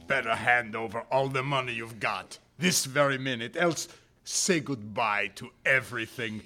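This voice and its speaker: A man's voice: medium pitch, normal speed, pushed and bright, lightly acted. A man voicing a bullying bandit in a told story, commanding, impatient and threatening. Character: tall, hoarse, bullying bandit barking demands.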